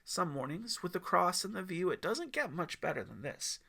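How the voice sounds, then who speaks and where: Man playing a mysterious mountain man, low crisp voice, gravelly, folksy, unhurried, welcoming, audiobook campfire tale. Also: big pitch swings, sharp tone, full character voice. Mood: calm, welcoming.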